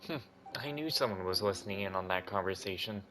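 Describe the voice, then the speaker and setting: A man's voice: low-pitched, lively intonation, fairly quick. A man giving casual commentary as he plays through a video game. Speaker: gaming streamer. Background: game music.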